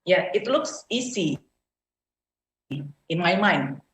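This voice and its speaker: A woman's voice: lowish voice, lively intonation, takes it slowly, a bit bright, echoey room, a little hiss or hum. A woman with an Indonesian accent giving a prepared speech at an online club meeting, hesitating often with "uh" fillers. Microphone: video call.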